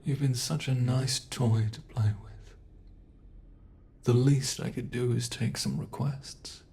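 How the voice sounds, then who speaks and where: A man voicing a sadistic male monster in an ASMR horror roleplay, talking in a slow, taunting way that relishes cruelty. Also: medium pitch, steady tone, vocal fry, dark tone. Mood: playful, sadistic.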